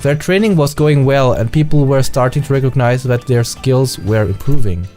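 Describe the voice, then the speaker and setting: A man with a German accent, whose first language is German, reading a visual novel aloud and adding his own remarks, careful and sometimes halting. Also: mid-range voice, dark tone, faint music behind.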